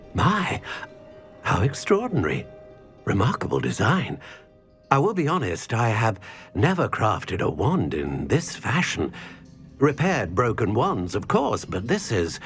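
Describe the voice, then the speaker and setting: An actor playing an elderly male wandmaker in a video game, measured, polite and full of wonder. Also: lowish voice, huge pitch swings, a bit bright, faint music behind, full character voice.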